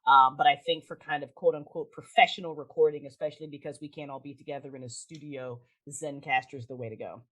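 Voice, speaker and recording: A woman talking casually as a podcast guest, with an animated, emphatic, informal way of speaking. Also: sits low, quick pace, few pauses. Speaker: podcast guest, audio drama creator. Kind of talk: casual interview chat.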